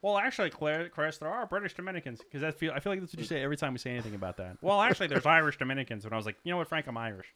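Fast-talking, wry man. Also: on the high side, expressive, pushed and bright. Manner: wry, teasing, profane. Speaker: podcast host. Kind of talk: casual banter, beer tasting chat.

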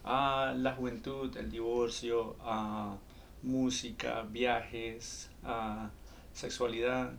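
A man's voice: medium pitch, level tone, very slow, gravelly, a little hiss or hum. A man in his early thirties from Colombia, with a Colombian accent, talking casually as a podcast co-host.

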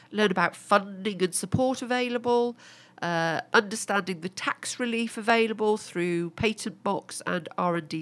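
A woman's voice: medium pitch, fairly bright tone. A woman with a cold, sounding bunged up, chatting as co-host and reading through event listings. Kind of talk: casual business podcast chat.

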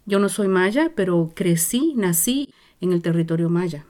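Slow, hesitant Guatemalan woman, low voice. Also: expressive, dark tone. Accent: Guatemalan Spanish. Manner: slow and searching, many hesitations and restarts. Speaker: interview guest. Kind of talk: reflective personal storytelling.

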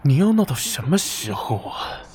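An actor playing a controlling, weary and exasperated young ex-boyfriend in an audio drama. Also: male voice, on the high side, very animated, moderate pace, crowd noise. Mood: exasperated, mocking.

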